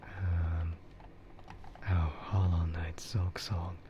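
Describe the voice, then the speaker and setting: A man softly whispering an unhurried, rambling ASMR livestream chat in a breathy voice, close to the microphone. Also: low-pitched, little pitch movement, background noise. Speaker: livestreamer and content creator.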